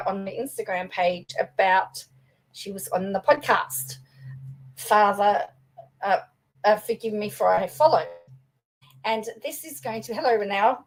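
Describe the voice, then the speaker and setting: A young woman talks through commentary for her channel, her fairly high voice slightly raspy after a day of singing. She rambles along casually, looping back with ums and restarts as she shares her opinions. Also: poor audio. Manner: chatty, rambling, with fillers and restarts. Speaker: vlogger and law student.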